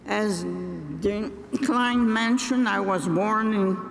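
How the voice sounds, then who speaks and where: Older woman, graduation speech, unhurried, raspy edge, long hall reverb, faint background noise. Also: mid-range voice. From Brazil. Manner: deliberate, halting, with frequent pauses and restarts. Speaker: commencement speaker. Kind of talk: graduation address. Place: large ceremony venue.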